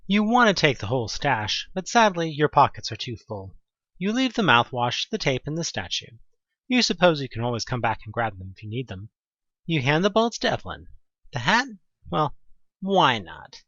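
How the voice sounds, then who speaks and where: A man narrating a horror audio play in the second person, with an expressive, suspenseful delivery. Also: medium pitch, moderate pace, no room sound, muffled recording.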